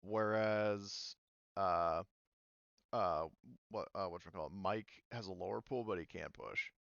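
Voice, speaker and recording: A man running a tabletop game, talking through the rules and checking things as he goes. His voice is gravelly but smooth and resonant. The sound is dry and a little muffled. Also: low-pitched, unhurried, a bit bright, no echo. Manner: explains rules at length, thinks aloud, many fillers. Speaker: game master.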